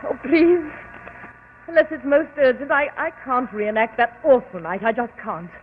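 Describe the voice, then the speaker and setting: Actress as fragile widow; high, tremulous, old broadcast sound. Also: female voice, normal speed, slight background noise, lightly acted. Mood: distraught. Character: distraught widow, fragile, claims to be haunted. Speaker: murder suspect. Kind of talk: 1940s radio acting. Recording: tinny old radio broadcast.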